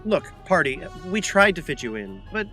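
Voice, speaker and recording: A man's voice: a little high, very animated, normal speed, sharp tone, faint music behind. A man playing a theatrical, bossy adventurer in an audio comedy, emphatic and arch in his delivery. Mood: placating, brisk. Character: theatrical, bossy, indignant adventurer, fond of festive fun.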